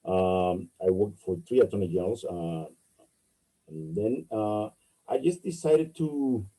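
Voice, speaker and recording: Low, dark-voiced man. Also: lively intonation, rough recording. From Dominican Republic. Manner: halting, many fillers and restarts. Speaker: political candidate. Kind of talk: interview answers.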